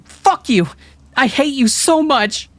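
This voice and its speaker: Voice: high voice, expressive, slow pace, a bit bright, no echo, pitched above the performer's own voice, exaggerated voice. A man voicing a furious teenage girl who screams in a manic, tearful rage. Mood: furious screaming.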